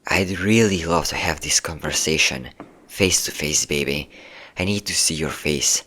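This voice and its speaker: A low-voiced, obsessive ex-boyfriend, acted out by a man in an audio roleplay. He is manipulative and possessive, coaxing his lover with pet names while an undercurrent of menace runs through his words. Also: moderate pace, sharp tone, a little hiss or hum. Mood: pleading, menacing. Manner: coaxing, menacing, calling listener pet names, nervous chuckles. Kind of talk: scripted ASMR-style audio roleplay.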